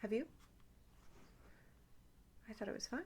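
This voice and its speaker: A woman's voice: measured pace, a little mellow. A woman chatting casually and intimately to the listener as an ASMR host, with playful, teasing asides.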